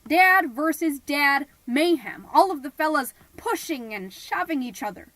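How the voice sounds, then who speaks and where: A woman's voice: high voice, animated, measured pace, a bit bright, no echo, poor audio. A woman playing a self-important, theatrical suburban mom who tells her story in a comedic scripted podcast monologue. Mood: dramatic, urgent. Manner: theatrical, pompous, indignant. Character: melodramatic suburban mom, self-important party planner, easily exasperated. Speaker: podcast host character narrating.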